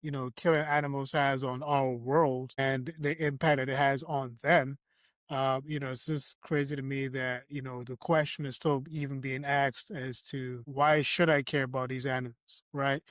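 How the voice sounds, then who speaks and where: A podcast host from Barbados, telling a personal story in a reflective way with frequent fillers. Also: male voice, medium pitch, level tone, moderate pace, a bit bright, no echo, studio quality. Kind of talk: conversational interview.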